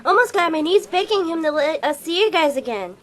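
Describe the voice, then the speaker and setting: A woman's voice: very high-pitched, lively intonation, a little fast. A woman playing an enthusiastic young security team member in an audio drama, perky and playful. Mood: upbeat, playful.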